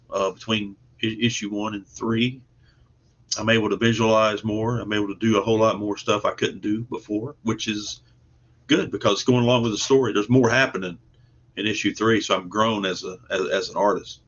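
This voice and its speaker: Male interview guest, lower register, earnest and folksy, casual delivery, dull online-call recording. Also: steady tone, a little fast, a bit bright. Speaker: guest, comic book artist and writer.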